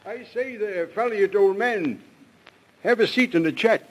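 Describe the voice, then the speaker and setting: A man's voice: high-pitched, unhurried. An actor playing an affable, nosy upper-crust clubman with a hearty, genteel manner in an old-time radio drama. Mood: hearty, prying.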